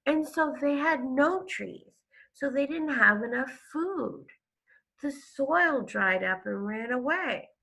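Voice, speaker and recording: A woman teacher reading a story aloud to children, expressive and stopping to explain it. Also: sits high, takes it slowly, creaky, low-quality recording. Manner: expressive, explains to listeners with questions and gasps. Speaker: elementary school teacher.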